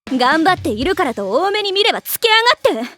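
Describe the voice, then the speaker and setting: A woman voice-acting a haughty, strict high school young lady in an anime, speaking in prim, pompous, overly polite phrases with a sharp tongue. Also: very animated, quick pace, slight background noise, heavily acted. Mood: furious, scolding. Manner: prim, pompous ojou-sama diction.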